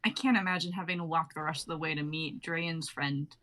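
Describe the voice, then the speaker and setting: A woman's voice: deep voice, steady tone, fairly quick, pushed and bright. A young woman playing a tough, guarded but candid adventurer in a dramatic reading. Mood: uneasy, relieved. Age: young adult. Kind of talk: online dramatic script reading.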